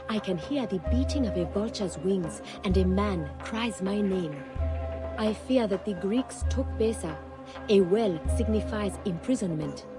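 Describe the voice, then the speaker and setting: An actress playing a grave, mystical young seer's apprentice in a video game, with solemn, poetic delivery. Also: female voice, medium pitch, a little slow, close to an everyday voice. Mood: foreboding. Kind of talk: video game cutscene acting. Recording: faint game music behind the voice.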